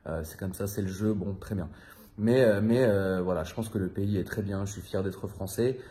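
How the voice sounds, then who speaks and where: A man's voice: on the low side, moderate pace, warm tone. A man in his thirties from France, talking to his viewers in a rambling, confiding way, slangy and swearing casually. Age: 30s. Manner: rambling, loose and confiding, many fillers and repeats. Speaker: vlogger. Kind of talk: casual monologue to camera.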